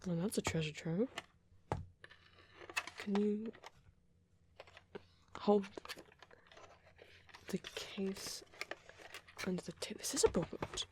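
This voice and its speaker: A woman voicing a shy vampire boy who rambles gently in an ASMR roleplay, soft-spoken and hesitant, slipping into breathy whispers. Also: mid-range voice, slow, pauses often, noisy background, lightly acted. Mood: curious, gentle.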